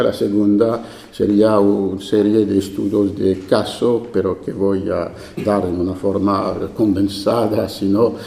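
Man, French accent, academic lecturer, formal and measured, slow delivery, echoey hall. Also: fairly low pitch, gravelly, mellow. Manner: formal, measured, with occasional hesitations. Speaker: invited speaker. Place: university lecture room.

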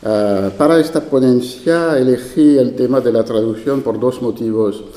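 A man with a French accent, a native French speaker, giving a formal academic conference lecture in a university lecture room, measured with occasional hesitations. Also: medium pitch, mellow. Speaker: invited speaker. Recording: echoey lecture hall.

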